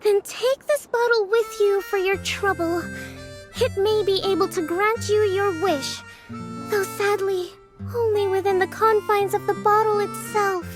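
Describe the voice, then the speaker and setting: A woman voicing an excitable, earnest little girl with a sweet manner in a video game. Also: extremely high pitch, a little slow, over music. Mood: wistful storytelling. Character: excitable little girl performer playing a fiery mage.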